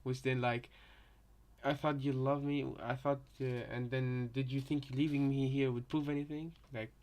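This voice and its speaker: A man giving casual reaction commentary in a halting way, often repeating himself. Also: mid-range voice, little pitch movement, darkish tone.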